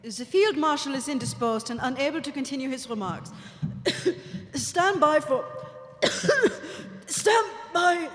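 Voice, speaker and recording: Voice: high-pitched, normal speed, natural voice. Stiff wartime German radio announcer, acted on stage, echoey hall, faint noise. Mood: flustered. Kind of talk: live audio drama acting.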